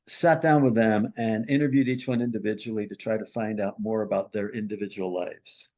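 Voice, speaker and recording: A man, a marriage and family therapist, recounting a counseling case as a lively storyteller who acts out the people he quotes. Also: brisk, lo-fi sound. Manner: animated storyteller, acts out quoted voices with big pitch jumps.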